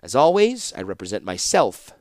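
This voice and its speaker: A man voicing a cruel, breezily sardonic woman torturer in a fantasy audiobook, mocking and calmly menacing. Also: lowish voice, moderate pace, no echo, clean studio sound. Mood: gleeful.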